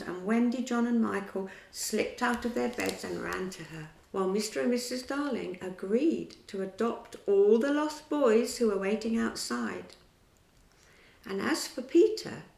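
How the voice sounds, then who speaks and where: Woman storyteller, steady reading, slight gravel, echoey room. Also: mid-range voice. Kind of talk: reading a children's story aloud.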